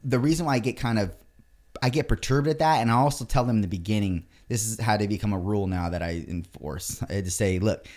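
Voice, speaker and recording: A man's voice: lowish voice, fast, darkish tone. A man hosting a podcast, chatting casually and rambling with fillers and the odd swear word, recorded on a studio microphone. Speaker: podcast host. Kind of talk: casual interview chat.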